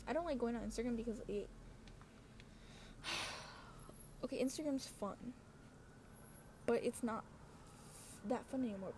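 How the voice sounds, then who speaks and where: Young woman, slightly high pitch, casual podcast rambling, slangy, self-interrupting, faint wind and traffic noise. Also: a little mellow. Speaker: podcast host. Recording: windy outdoor noise, passing cars. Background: wind, cars, ambient noise.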